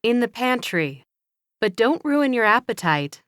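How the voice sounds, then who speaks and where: Woman as a gently firm mother, calm, matter-of-fact, smooth voice. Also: fairly high pitch, measured pace, dry recording, studio quality. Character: mother teaching her son, practical, gently firm. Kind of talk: scripted skit.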